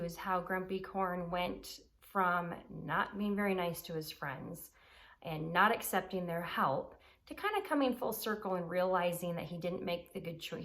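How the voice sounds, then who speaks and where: A woman's voice: lowish voice, a bit bright. A woman reading a children's story aloud expressively and explaining it simply as a teacher would.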